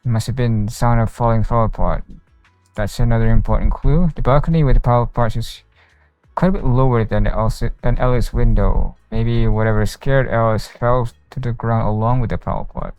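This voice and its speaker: A man's voice: medium pitch, fairly even intonation, fairly quick, a little mellow, smooth, dry recording, rough recording. A man streaming a video game, casually commenting and reading dialogue aloud into a close mic with game music behind.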